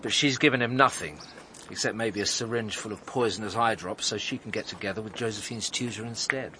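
A man's voice: lowish voice, brisk, background noise, lightly acted. An actor playing a wry, pressing male chief inspector in a radio drama, with a dry, blunt, needling way of talking. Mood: wry, sardonic.

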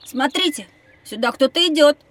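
A woman's voice: high-pitched, animated, normal speed, recorded from a distance. A woman playing an outspoken, curious and skeptical young boy in an educational audio drama, slightly off the microphone. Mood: skeptical, eager. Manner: doubting, inquisitive.

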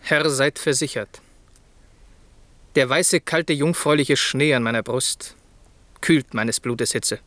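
An actor playing an earnest, ardent young noble suitor in a radio play, speaking fervent, formal verse. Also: male voice, medium pitch, takes it slowly, long pauses, fairly bright tone, dry recording.

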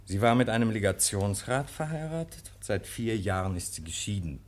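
A man's voice: on the low side, animated, moderate pace, lightly acted. A man acting in a German radio play as an amused, gossiping legal counsellor with a knowing manner. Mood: gossipy, amused. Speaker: actor.

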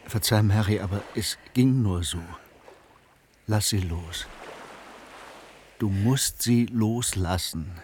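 An actor playing a blunt, passionate technician in a science-fiction radio play, with a rough, husky voice. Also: male voice, lowish voice, takes it slowly, long pauses, a little mellow, slight background noise. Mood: urgent, coaxing. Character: blunt, passionate, impulsive male virtual-reality technician. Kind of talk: German radio play acting.

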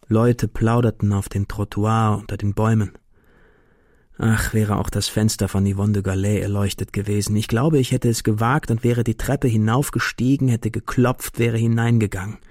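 A man's voice: on the low side, little pitch movement, normal speed, darkish tone, no echo, studio quality, natural voice. A man playing a lovelorn, melancholy young man, reading his letters aloud with brooding intimacy in a radio drama.

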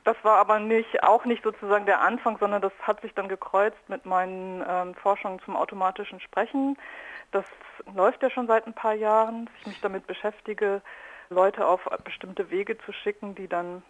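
A woman speaks as an artist being interviewed, at a medium pitch. She is reflective and spontaneous, building her thoughts aloud clause by clause. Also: steady tone, moderate pace, highs cut off. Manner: reflective, thinks aloud in loosely linked clauses.

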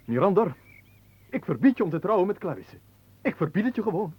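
A man's voice: high voice, very animated, a little fast, breathy edge, lo-fi sound, full character voice. An actor in a Dutch radio play playing a restless, drily ironic young master swordsman with a declamatory delivery. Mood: excited.

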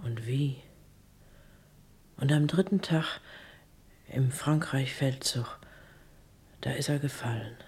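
Langsame, fromme ältere Haushälterin. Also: female voice, rumbling low, takes it slowly, long pauses, a little mellow, breathy edge, exaggerated voice. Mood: quiet grief. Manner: plain, hesitant, subdued. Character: older, devout long-serving housemaid, grieving, reserved. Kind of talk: German radio play acting.

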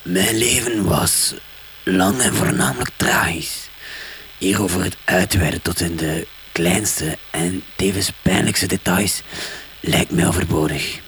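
A man's voice: low-pitched, a bit bright, a little hiss or hum, exaggerated voice, poor audio. An actor playing a mournful old man who reads his own will on a cassette in a radio comedy drama. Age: older. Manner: slow, mournful, self-pitying.

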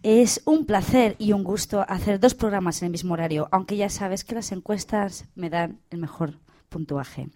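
A woman's voice: mid-range voice, moderate pace, mellow, natural voice. A woman playing a composed radio presenter in a parody radio play, formal and polite with a catty edge. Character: composed female radio music-show presenter, sweetly catty toward rival. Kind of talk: radio theatre, mock announcing.

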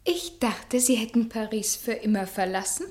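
A woman's voice: fairly high pitch, moderate pace, a little mellow, lightly acted. An actress playing a proud, wounded young lady in a German radio play, formal and reserved. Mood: cool, hurt. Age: young adult.

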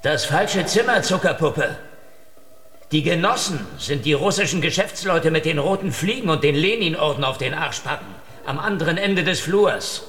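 An actor playing a cynical, hard-drinking KGB investigator in a noir radio drama, sardonic, deadpan and foul-mouthed. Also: male voice, fairly high pitch, moderate pace, a little hiss or hum. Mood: dismissive, mocking. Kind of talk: noir radio drama, first-person narration and dialogue. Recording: music behind the voice. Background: prominent music.

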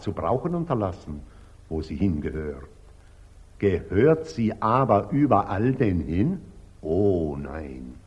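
Pompous deep-voiced old patriarch. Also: male voice, a little mellow, breathy edge, exaggerated voice. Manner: preaching, grandly condescending. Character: pompous, unctuous, zealous elderly church patriarch. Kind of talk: 1940s German radio drama acting. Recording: old muffled 1940s radio recording.

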